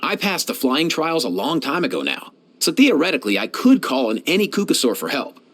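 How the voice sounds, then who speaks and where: An actor playing a kindly, laid-back young veterinarian in a video game, talking in casual slang. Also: male voice, a little high, very animated, brisk, a bit bright, heavily acted. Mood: relaxed, friendly. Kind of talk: game voice lines, conversational.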